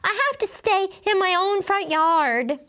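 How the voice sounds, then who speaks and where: Storybook first grader, voiced by a woman: high, indignant, whiny, emphatic complaints with big swoops. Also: lively intonation, a little slow, fairly bright tone, heavily acted. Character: indignant six-year-old first-grade schoolchildren, boys and girls.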